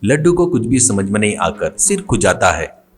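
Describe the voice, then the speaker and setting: A man's voice: fairly low pitch, sing-song, moderate pace, soft background music. A man narrating a scripted comic folk tale as a storyteller.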